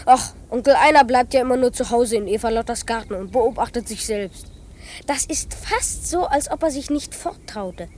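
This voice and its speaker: A woman's voice: fairly high pitch, moderate pace, a little mellow, a little hiss or hum, close to an everyday voice. A woman playing an earnest, proud twelve-year-old boy detective in a children's radio play, with a dramatic delivery. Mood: insistent, offended.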